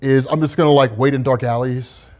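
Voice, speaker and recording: A man in casual banter with friends, improvising silly comic-book stories, animated and playfully defensive. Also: mid-range voice, fairly quick.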